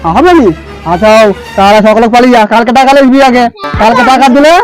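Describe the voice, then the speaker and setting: A man's voice: extremely high pitch, quick pace. A cash-hungry village tutor from a comedy cartoon, acted by a man, bossy and easily flustered. He repeats himself in colloquial Bengali with a Medinipur accent. Music plays behind the voice.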